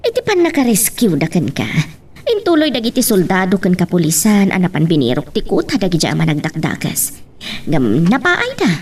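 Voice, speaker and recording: A woman's voice: fairly low pitch, wildly swooping pitch, moderate pace, a little mellow, husky, far from the mic, exaggerated voice. A woman acting a patient mother talking to her grown daughter in an Ilocano radio-style drama. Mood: patient, explaining.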